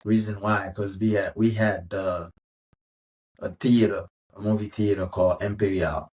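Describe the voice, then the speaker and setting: A man in his fifties from Haiti with a Haitian accent, a guest telling his life story in a relaxed, unhurried way. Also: on the low side, poor audio. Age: 50s. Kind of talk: casual interview storytelling.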